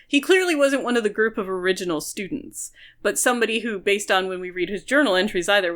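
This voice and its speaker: A woman's voice: medium pitch, a bit bright. Analytical woman podcast co-host. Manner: analytical, explains at length. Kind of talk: casual book discussion chat.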